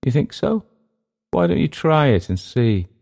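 A man's voice: on the high side, sing-song, measured pace, gravelly, darkish tone, voice pushed up, heavily acted. A man voicing a world-weary, domineering settler in an audiobook story, speaking with emphatic, teasing scorn. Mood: mocking. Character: domineering, mocking older male jungle settler, world-weary. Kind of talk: audiobook character dialogue.

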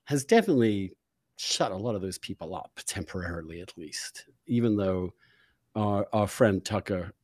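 A man hosting an interview, giving his opinions emphatically in halting phrases with repeated words. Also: deep voice, lively intonation, darkish tone, no echo, studio quality. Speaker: interview host.

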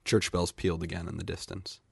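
Deep gravelly male story narrator. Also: level tone, fairly quick. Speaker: short story reader. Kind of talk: scripted literary fiction narration.

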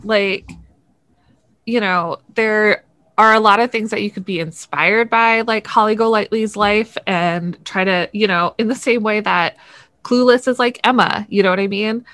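A woman co-hosting a podcast in a casual chat. Her voice is mid-pitched and recorded dry, with hardly any room sound. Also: unhurried, fairly bright tone, no echo. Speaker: podcast co-host.

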